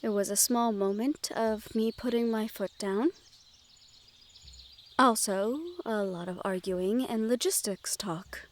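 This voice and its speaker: Gentle, formal young fantasy noblewoman played by a woman. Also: medium pitch, steady tone, a little hiss or hum, natural voice. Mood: serene, thoughtful. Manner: polite, formal, measured phrasing. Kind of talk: scripted audio roleplay addressed to the listener.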